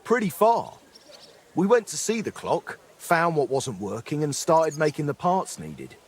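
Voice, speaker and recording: An actor playing a remorseful middle-aged former blacksmith in a dramatic game, speaking in an earthy, apologetic way. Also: male voice, a little high, very animated, unhurried, breathy edge, full character voice. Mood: rueful, earthy.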